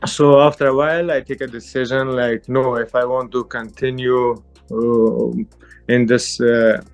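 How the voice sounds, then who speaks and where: Pashto-speaking fighter, halting casual English. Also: male voice, mid-range voice, little pitch movement, smooth, highs cut off, soft background music. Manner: halting non-native English, laughs often. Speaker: MMA fighter, interviewee. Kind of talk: casual interview answers.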